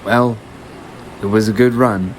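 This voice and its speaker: Man acting as sardonic skeptic, lowish voice, slow delivery, radio play, faint hum. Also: steady tone, close to an everyday voice, poor audio. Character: sardonic, skeptical male onlooker.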